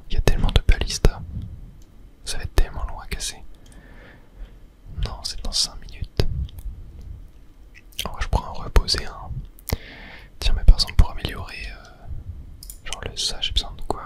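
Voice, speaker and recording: An ASMR game host speaks entirely in a breathy whisper, with no voiced tone. The delivery is casual, like someone thinking aloud while playing and explaining each step to viewers. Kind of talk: whispered ASMR gaming commentary.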